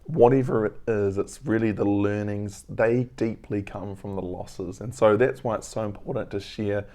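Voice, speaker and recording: Hoarse man in his 30s, crackly and low, mellow tone, earnest and reflective vlogger. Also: steady tone, normal speed. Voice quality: crackly voice, frequent throat clearing. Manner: earnest, reflective, rambling with fillers. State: hoarse, lost voice. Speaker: business owner vlogger. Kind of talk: casual weekly vlog update. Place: at home.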